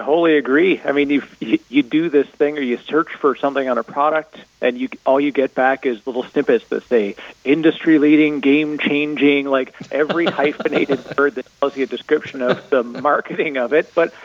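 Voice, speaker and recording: A man's voice: on the high side, brisk, highs cut off. Canadian man, informal radio panel guest. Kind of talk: casual tech discussion.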